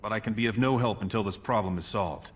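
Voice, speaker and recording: An actor playing an ambitious dwarf prince in a video game, speaking formally and with resolute authority. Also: male voice, fairly low pitch, fast talker, natural voice. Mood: measured, grave. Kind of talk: video game character dialogue.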